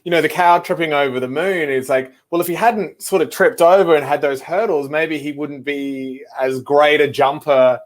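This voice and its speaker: A man's voice: on the high side, fairly even intonation, normal speed, few pauses. A man with an Australian accent hosting a children's show, chatting casually with a guest. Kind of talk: casual interview chat.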